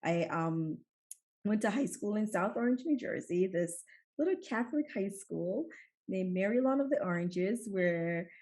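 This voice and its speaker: A middle-aged woman from Jamaica, a physician guest telling her story in a relaxed, conversational way with frequent fillers. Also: medium pitch, unhurried. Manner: warm storytelling with laughs and many fillers.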